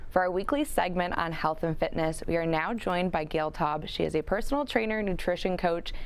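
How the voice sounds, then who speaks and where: A young woman hosting a local TV show, introducing guests and asking questions in a polished, scripted way. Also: on the low side. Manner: polished, reads introductions smoothly. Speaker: TV show host.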